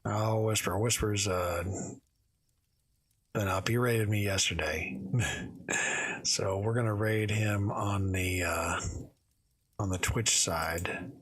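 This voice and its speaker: A man reading a classic story aloud on a livestream, slightly hoarse, with small slips and self-corrections. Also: lowish voice, fairly even intonation, takes it slowly, pauses often, a bit bright. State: a little hoarse. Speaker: streamer reading stories aloud.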